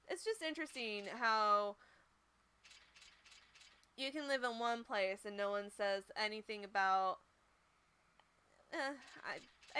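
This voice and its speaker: A woman's voice: medium pitch, sharp tone, smooth. A woman from Pennsylvania with a Pennsylvania accent, chatting casually while playing a game. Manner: chatty, rambling, light laughs. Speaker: game streamer. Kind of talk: casual playthrough commentary.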